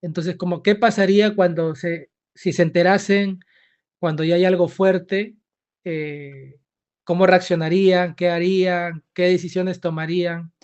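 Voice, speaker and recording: A man in his thirties with a Peruvian accent, an author answering interview questions hesitantly with many fillers and pauses. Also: high-pitched, steady tone, darkish tone, clean tone, highs cut off. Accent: Peruvian Spanish. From Peru. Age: 30s.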